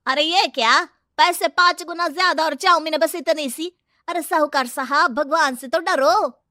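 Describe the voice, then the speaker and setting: A woman voicing an indignant, complaining village customer in a cartoon moral story, exclamatory and drawn-out. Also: sits high, bright, forceful tone, no room sound, clean studio sound, voice pushed up.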